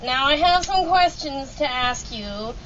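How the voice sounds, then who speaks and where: A woman's voice: high voice, expressive, measured pace, a bit bright. A woman playing a bored, curt, officious airport officer in an old-time radio drama. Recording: muffled mic, faint background noise.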